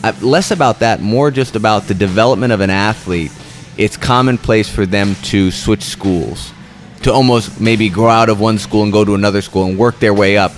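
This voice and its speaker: Low-voiced relaxed podcast co-host man. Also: moderate pace, faint music behind. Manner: relaxed, explains at length, some restarts. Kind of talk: casual chat.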